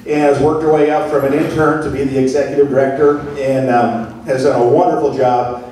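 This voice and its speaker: A man giving a halting presentation about conservation work, often repeating words. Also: medium pitch, level tone, mellow, slight background noise. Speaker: board member of a conservation district. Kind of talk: presentation to a club meeting. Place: meeting room. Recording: echoey room from far off.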